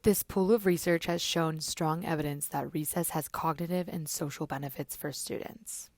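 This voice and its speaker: A woman narrating a scripted student report in a measured, informative way. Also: sits low, fairly even intonation, darkish tone, no room sound, studio quality.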